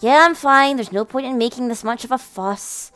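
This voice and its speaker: A woman's voice: fairly high pitch, moderate pace, a bit bright, clean tone, dry recording, soft background music, close to an everyday voice. Woman playing a weary, stubborn young man, dryly protesting. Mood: dismissive. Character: weary, stubborn young man, put-upon older brother.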